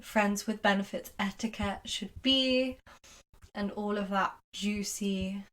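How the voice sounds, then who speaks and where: A woman co-hosts a casual podcast, recording from a distance. She speaks at an unhurried pace, giggles often and steers the conversation. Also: medium pitch, fairly even intonation, a bit bright. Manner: breezy, giggly, leads the segments. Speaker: podcast co-host. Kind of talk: casual chat. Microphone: remote call setup.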